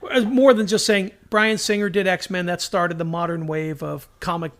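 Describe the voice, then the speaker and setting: A man's voice: sits high, steady tone, normal speed, a bit bright. A man co-hosting a podcast in casual fan discussion, speaking emphatically and with strong opinions, often in anecdotes. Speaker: podcast co-host. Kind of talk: casual enthusiast discussion about TV shows.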